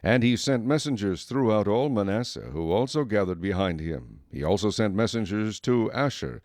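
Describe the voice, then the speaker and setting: A man narrating scripture from a script in a measured, formal manner. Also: on the low side, lively intonation, darkish tone, dry recording, studio quality.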